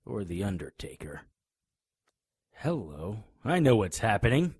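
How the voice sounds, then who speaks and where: A man's voice: on the low side, very animated, a little slow, darkish tone, slightly rough, dry recording, full character voice. An actor playing a tough, righteous male private detective in an audio drama, confrontational and sardonic. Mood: confrontational, accusing. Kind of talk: hardboiled audio drama acting.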